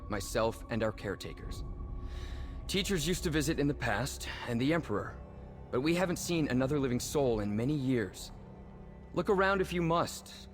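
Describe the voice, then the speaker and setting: Guarded, formal young man, animated pitch, acted. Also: natural voice. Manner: formal, indignant, precise. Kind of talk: video game voice acting.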